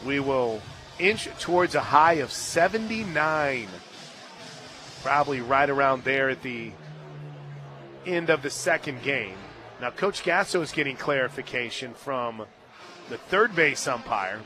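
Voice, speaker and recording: Bright-voiced radio play-by-play man. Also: medium pitch, faint music behind. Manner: brisk, surges into excited shouting on big plays. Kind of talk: live sports play-by-play. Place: stadium radio booth.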